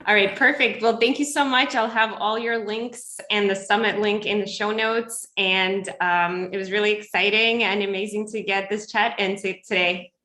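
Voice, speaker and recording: A woman in her thirties from Ukraine, hosting a podcast interview in a friendly, relaxed way. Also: mid-range voice, normal speed, few pauses, echoey room. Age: 30s. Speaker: podcast host. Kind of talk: casual interview chat.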